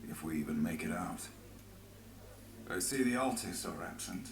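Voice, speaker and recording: An actor playing a sombre, awed ally in a fantasy game, breathy and half-whispered. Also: male voice, low voice, animated, moderate pace, echoey room, background noise. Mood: hushed, wistful. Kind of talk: dramatic fantasy game voice acting.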